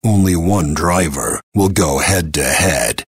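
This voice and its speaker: Very deep, raspy menacing arena announcer. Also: male voice, animated, takes it slowly, no echo, heavily acted. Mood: sardonic. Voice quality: gravelly, raspy. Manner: ominous, sardonic, dramatic delivery. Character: menacing, taunting male arena announcer for deadly car combat. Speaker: game announcer. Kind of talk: theatrical scripted game announcing.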